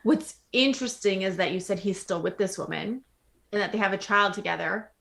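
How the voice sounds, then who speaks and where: A woman's voice: mid-range voice, a little fast, gravelly, a bit bright. A woman hosting a podcast, chatting casually and adding engaged, wry commentary to a guest's story. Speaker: podcast host. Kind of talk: casual conversational interviewing.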